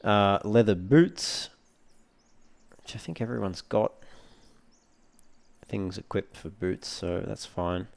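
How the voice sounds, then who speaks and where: A man giving low-key, casual gameplay commentary, musing aloud as he plays. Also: takes it slowly, gravelly, long pauses. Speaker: let's play host.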